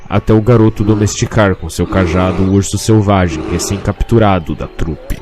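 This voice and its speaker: A man's voice: fairly low pitch, dark tone. A man with a Brazilian accent narrating a scripted fantasy story.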